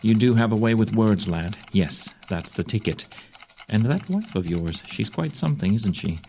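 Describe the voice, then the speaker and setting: A lowish male voice gives us a senior general, dry and avuncular with grim wit. It is audio drama acting over faint noise. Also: expressive, normal speed, a little mellow. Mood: amused, fond.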